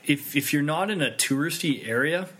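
A man's voice: on the high side, animated, normal speed, fairly bright tone, slightly rough. A man hosting a casual interview, talking conversationally and asking loose, rambling questions. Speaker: interviewer, host.

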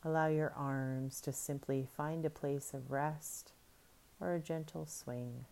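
A woman guiding a breathing meditation in soft, soothing, unhurried instructions. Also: deep voice, fairly even intonation, gravelly. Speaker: meditation guide.

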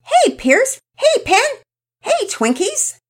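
A woman voicing an excitable, demanding little boy in a storybook reading. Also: very high-pitched, brisk, voice pushed up, exaggerated voice. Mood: excited.

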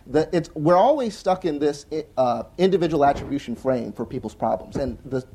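A young adult man on a conference panel, talking earnestly and fast with frequent restarts and "right?" tags. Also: on the high side, animated, dark tone, slight background noise. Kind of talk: panel discussion answer. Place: conference hall with audience.

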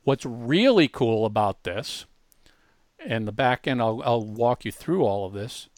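A man's voice: mid-range voice, expressive, a little slow, dry recording, clean studio sound. A man hosting a casual screen-share tutorial, explaining things informally step by step. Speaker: host demonstrating a music marketing tool.

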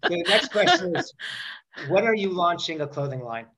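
A man's voice: sits high, huge pitch swings, moderate pace, a bit bright. A man in his forties hosting a podcast, bantering in a joking, self-deprecating interview style. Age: 40s. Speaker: podcast host.

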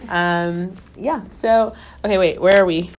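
A woman's voice: on the low side, steady tone, measured pace, slight background noise, poor audio. A woman hosting a podcast, chatting casually with a friend and laughing often. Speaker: podcast host. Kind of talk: casual chat. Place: Dallas area.

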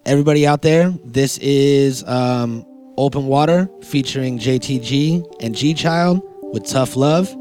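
A man's voice: medium pitch, unhurried, a little mellow, faint music behind. A man hosting a podcast in casual, informal, slangy chat. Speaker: podcast host.

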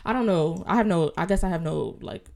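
A woman's voice: on the low side, big pitch swings, a little fast, creaky, dark tone. A young adult woman, a student, chatting casually and thinking aloud in hesitant fragments. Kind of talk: casual chat.

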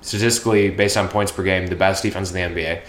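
A man co-hosting a casual sports podcast, relaxed and jokey, talking from inside a house. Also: low-pitched, fast talker. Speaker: podcast co-host. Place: house, indoors. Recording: echoey room with faint noise.

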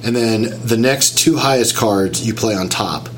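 Nasal man with a cold, low voice. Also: a little slow, a little hiss or hum, low-quality recording. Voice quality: slightly whiny. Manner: rambling, explains step by step. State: getting over a cold, nasal. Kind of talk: casual chat.

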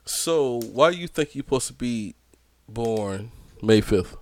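A man in his thirties hosting a casual podcast, bantering with guests in loud, laughing, profane talk. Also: mid-range voice, lively intonation, slow. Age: 30s. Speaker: podcast host.

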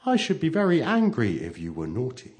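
A man voicing a gentle, anxious mother in a storybook, speaking tenderly, earnestly and sadly. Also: medium pitch, huge pitch swings, a little fast, voice pushed up.

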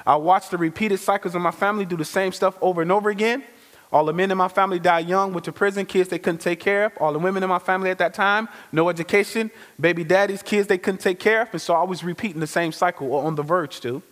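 A man giving a lively, conversational testimony sermon as a guest speaker, full of slang. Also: high voice, fast. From Chicago. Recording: reverberant hall.

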